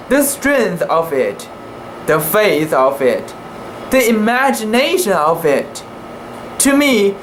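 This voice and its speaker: An amateur narrator giving a deliberate, halting dramatic reading of a classic sea story in the first person, stumbling over words now and then. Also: medium pitch, background noise.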